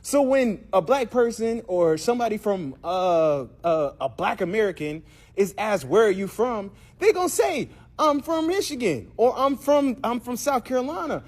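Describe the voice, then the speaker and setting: A man with a husky, fairly high voice delivers blunt reaction commentary. His pitch swings widely as he talks. He keeps the tone casual and direct. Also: sing-song, normal speed. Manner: blunt, calls listeners "bro" and "dog".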